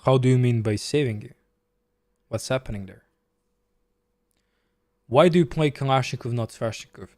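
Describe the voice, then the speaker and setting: A man with a Serbian accent streams a chess game live and talks casually as he plays. He reads viewers' questions aloud and answers them in a loose, chatty way. His voice sits at a middling pitch and sounds dry and close to the mic. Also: normal speed, pauses often, darkish tone, no room sound.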